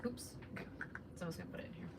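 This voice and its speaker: A woman in her thirties with a soft, relaxing Midwestern voice, chatting casually with viewers during a crafting livestream. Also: rapid-fire. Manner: chatty, greets viewers while working. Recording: distant mic with background noise.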